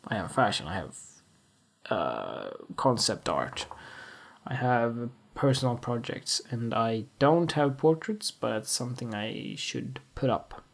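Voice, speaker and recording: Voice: medium pitch, creaky. Rambling young photographer. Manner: rambling, chatty, many fillers and restarts. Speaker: freelance photographer. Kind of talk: casual vlog talk to camera.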